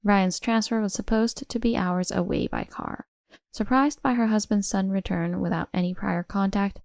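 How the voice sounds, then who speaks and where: A woman's voice: mid-range voice, vocal fry, a little mellow, dry recording, clean studio sound. A woman reading a scripted first-person family drama as its narrator. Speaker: story narrator.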